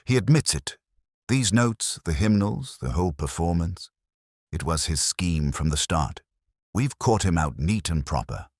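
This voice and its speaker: A man voicing an excitable, blunt, impatient police sergeant in a mystery audiobook. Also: low-pitched, unhurried, dry recording, clean studio sound. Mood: triumphant. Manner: blustering, blunt, exasperated. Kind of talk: mystery audiobook character dialogue.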